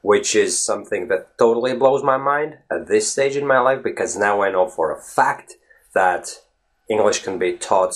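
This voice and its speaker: Lower-voiced man. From Latvia. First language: Latvian. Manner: emphatic, talks to viewers directly, often asks "right?". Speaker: vlog host. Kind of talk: casual solo vlog talk.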